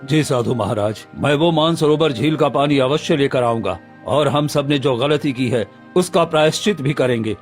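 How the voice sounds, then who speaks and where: A man's voice: mid-range voice, normal speed, hardly any pauses, soft background music, close to an everyday voice. A man playing an earnest, remorseful villager in a Hindi cartoon moral story. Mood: determined. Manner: pleading, earnest.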